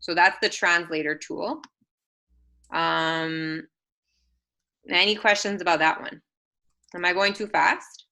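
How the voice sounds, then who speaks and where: A woman presenting an assistive technology webinar, walking parents through software tools with frequent ums and self-corrections. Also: lowish voice, steady tone, slow pace, vocal fry, long pauses.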